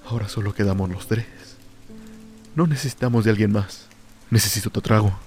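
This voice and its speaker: A man's voice: on the low side, a little fast, a little mellow, natural voice. Man acting a tormented drunken loner over music. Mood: brooding, melancholic. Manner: brooding, theatrical first-person soliloquy. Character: tormented, lonely drunken man sinking into madness and fear. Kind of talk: radio drama monologue.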